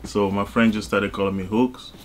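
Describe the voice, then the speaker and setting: A man from Cameroon, talking casually as an interview guest in a halting way, with frequent asides. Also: fairly low pitch, flat delivery, slight background noise. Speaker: interview guest, music artist and label executive.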